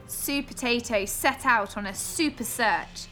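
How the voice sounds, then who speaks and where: Unhurried woman storyteller over music. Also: a little high, a bit bright, poor audio. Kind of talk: expressive children's storybook narration.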